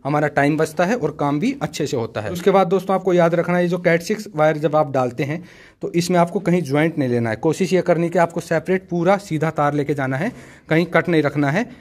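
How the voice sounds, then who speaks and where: Higher-pitched man explaining methodically. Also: fast, a little mellow. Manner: instructive, methodical, often addresses viewers as friends. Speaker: tutorial host, technician. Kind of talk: practical how-to explanation to camera.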